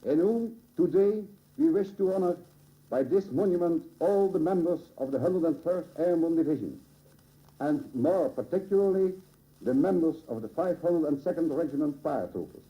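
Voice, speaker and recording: A man from the Netherlands with a Dutch accent reads a formal, solemn ceremonial speech in measured, oratorical phrases, on an old radio recording. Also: fairly high pitch, animated. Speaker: local dignitary giving a dedication address. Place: public ceremony at a monument dedication. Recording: old muffled 1950s radio broadcast recording.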